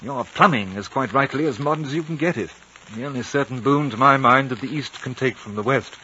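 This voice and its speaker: A refined British gentleman adventurer played by a man in an old radio drama. His voice is bright and mid-pitched, measured and reflective, on a dull, faintly noisy recording. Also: lightly acted. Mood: polite, wry. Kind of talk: 1950s radio drama narration and dialogue.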